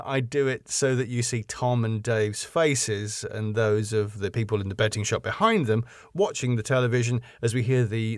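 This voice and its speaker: A man's voice: fairly low pitch, no room sound. Middle-aged British man, dry close sound. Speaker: podcast host, writer and narrator. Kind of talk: scripted story narration and chatty podcast outro.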